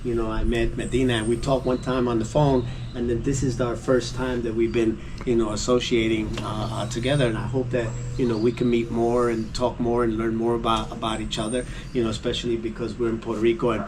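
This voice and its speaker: A man interviewed as a guest actor and producer, talking haltingly with many fillers and restarts. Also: mid-range voice, little pitch movement. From United States. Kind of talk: casual interview. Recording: noisy location recording.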